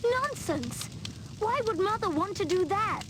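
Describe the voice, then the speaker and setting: A headstrong young princess with an extremely high, lively voice. She sounds naive and dramatic, as in a fantasy game, with noisy ambience behind. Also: measured pace. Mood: disbelieving. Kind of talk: dramatic video game cutscene acting.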